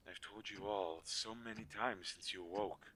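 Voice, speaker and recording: A man playing a weary, protective magical inventor in an audio drama, speaking earnestly with halting pauses. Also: pushed and bright. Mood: weary, hopeful. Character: weary, protective male inventor-mage, creator of living dolls. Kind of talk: scripted audio roleplay drama. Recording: low quality, audible background hiss.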